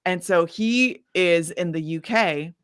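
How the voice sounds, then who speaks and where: A woman with a slightly low voice tells stories casually as an interview guest. She is animated and informal, often slipping into quoted dialogue as she goes. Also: slow pace, clear, resonant tone. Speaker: singer-songwriter interview guest. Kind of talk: casual chatty interview storytelling.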